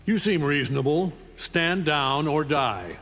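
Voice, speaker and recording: A man's voice: a little high, lively intonation, unhurried, a bit bright, big-room sound, exaggerated voice. A man voicing an arrogant, menacing alien conqueror in a video game, with a contemptuous, commanding manner. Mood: taunting. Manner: contemptuous, taunting, coldly commanding. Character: arrogant, menacing alien conqueror, a middle-aged superhuman father. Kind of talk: video game voice acting, fight intro taunts.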